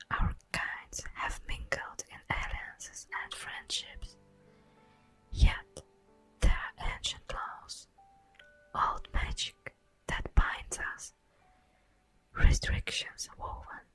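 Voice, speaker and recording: Woman as a whispering, slow-spoken ancient elf woman. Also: fairly bright tone. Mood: sorrowful, confiding. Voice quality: breathy, whispered. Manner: slow, solemn, poetic delivery with long pauses. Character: ancient immortal elf woman, tender, wistful, solemn. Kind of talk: whispered ASMR fantasy roleplay monologue. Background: music.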